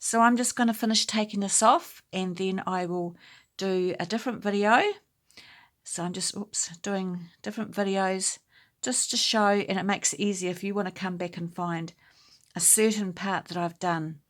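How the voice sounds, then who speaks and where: A woman with a mid-range voice that is slightly croaky, talking viewers through a knitting technique. She chats in a relaxed, homely way and takes her time over each step, as if sitting at home with her project in her lap. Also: mid-range voice. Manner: chatty, unhurried, homely explaining. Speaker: knitting tutorial host. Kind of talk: casual step-by-step craft tutorial. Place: indoors at home.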